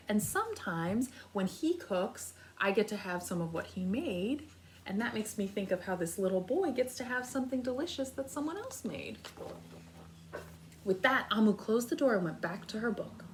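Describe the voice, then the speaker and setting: A woman's voice: mid-range voice, slight background noise. A woman teaching a read-aloud lesson for young children, speaking directly to them and thinking aloud as she goes. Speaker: kindergarten teacher reading aloud.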